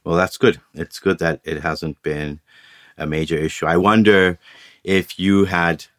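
A man working as an interviewer, asking measured, carefully worded questions. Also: sits low, steady tone, a bit bright, no room sound, clean studio sound.

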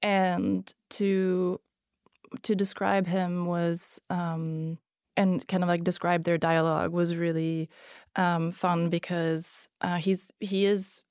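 A woman's voice: lowish voice, flat delivery, takes it slowly, darkish tone, no echo, studio quality. A woman author born in Hungary and raised in Sweden, a native Hungarian speaker, answering interview questions hesitantly with many fillers and restarts. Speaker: author guest. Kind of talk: podcast interview.

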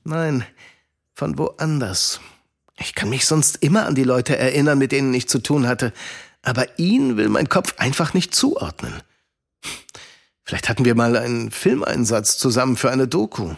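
A man's voice: mid-range voice, animated, moderate pace, creaky, breathy edge. A man playing a guarded uncle who mentors children, in a children's audio drama. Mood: thoughtful, troubled.

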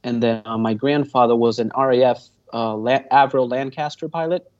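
A man's voice: medium pitch, fairly even intonation, moderate pace, a little mellow. Guy in his 20s, rambles a lot, ums and restarts, muffled lo-fi sound. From Venezuela. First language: Spanish. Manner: earnest, rambling, with many fillers and restarts. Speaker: guest pilot. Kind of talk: casual interview answers. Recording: dull muffled audio.

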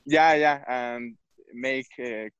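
A footballer from Mexico, speaking English with a Mexican Spanish accent, hesitant and searching for words. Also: male voice, mid-range voice, fairly even intonation, extremely slow, fairly bright tone, clear, resonant tone, dry recording, dull audio. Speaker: football player. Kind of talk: interview.

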